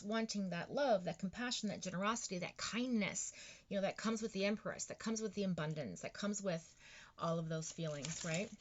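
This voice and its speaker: Bright-voiced woman doing a tarot reading. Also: mid-range voice, highs cut off. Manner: rambling and encouraging, many fillers and restarts. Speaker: tarot reader. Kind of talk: casual tarot card reading.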